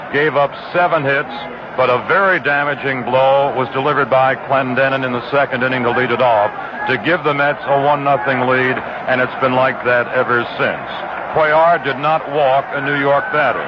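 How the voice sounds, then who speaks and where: Male radio announcer, muffled vintage broadcast. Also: mid-range voice. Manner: steady, detailed stats-heavy delivery. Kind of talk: baseball radio commentary. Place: ballpark broadcast booth. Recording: muffled 1969 radio broadcast with loud hiss.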